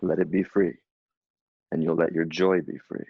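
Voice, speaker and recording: A man in his thirties, a spiritual coach, giving a slow, soothing reading and then chatting casually with his client. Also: low-pitched, level tone, slightly rough, no echo. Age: 30s. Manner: slow, soothing, affirming delivery. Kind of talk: guided channeled reading, then casual chat. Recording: dull lo-fi sound.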